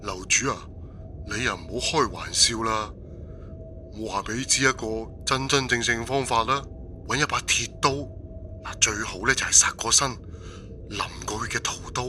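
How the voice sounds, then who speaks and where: A man's voice: mid-range voice, normal speed, over music, natural voice. A man playing an exasperated, colloquial character on a ghost forum in an audio drama, with a Cantonese accent. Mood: insistent. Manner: colloquial, exclamatory.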